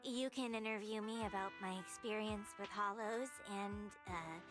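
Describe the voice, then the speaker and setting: A woman's voice: medium pitch, moderate pace, over music, lightly acted. A woman voicing a teenage schoolgirl in anime-style game dialogue. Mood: hesitant, low-key. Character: teenage schoolgirls chatting about a group project.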